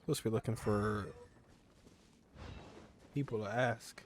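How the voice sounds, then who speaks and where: A man's voice: lowish voice, measured pace, a little hiss or hum. A man giving casual gameplay commentary, muttering offhandedly to himself as he plays. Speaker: gamer, streamer. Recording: game music behind voice.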